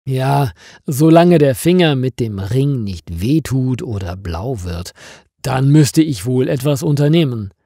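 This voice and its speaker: An impatient office woman from a storybook, read by a man, muttering and complaining. The delivery is slow and mellow, with animated swings in intonation. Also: medium pitch, no echo, studio quality. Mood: pondering. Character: impatient female office worker, easily exasperated. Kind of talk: storybook character dialogue.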